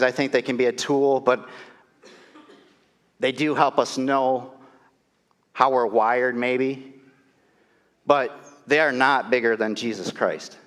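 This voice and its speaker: A man's voice: medium pitch, a bit bright. A middle-aged male pastor with a cold and a nasal voice, preaching a sermon in a casual, storytelling way in a church. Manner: conversational, storytelling, self-deprecating. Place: church sanctuary. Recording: long reverb of a church hall.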